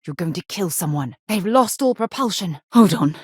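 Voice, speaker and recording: An actress playing a principled, warm, battle-tested space explorer and former commander in a video game, earnest and articulate. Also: female voice, fairly low pitch, expressive, moderate pace, breathy edge, no echo, studio quality. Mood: wary, tense. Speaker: game companion character. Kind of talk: video game voice acting, dramatic dialogue.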